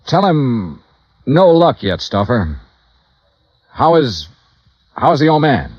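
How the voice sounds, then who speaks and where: An American actor playing a weary, clipped insurance investigator in a 1950s radio noir drama, narrating his own case in a deadpan way. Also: mid-range voice, slow, no echo. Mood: curt. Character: hard-boiled, weary male insurance investigator. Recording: dull vintage radio recording, music behind.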